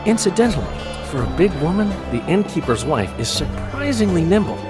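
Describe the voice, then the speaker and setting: A man's voice: high voice, very animated, a little fast, music in the background. A male actor playing a jovial, flighty bard in a video game, chatty and theatrical. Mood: tipsy rambling.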